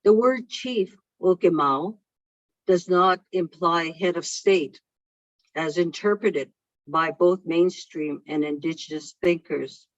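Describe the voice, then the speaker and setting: A keynote speaker for whom English is a second language, reading a prepared speech in a slow, deliberate way over a video call. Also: medium pitch, no echo. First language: not English. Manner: slow, measured and deliberate delivery. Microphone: video call microphone. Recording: dull lo-fi online call audio.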